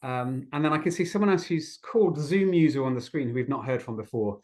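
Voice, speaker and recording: A man's voice: mid-range voice, lively intonation, fairly quick, a little mellow. Encouraging man hosting an online gathering. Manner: encouraging, appreciative. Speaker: host of an online community gathering. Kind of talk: facilitating an online group discussion. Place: online video call.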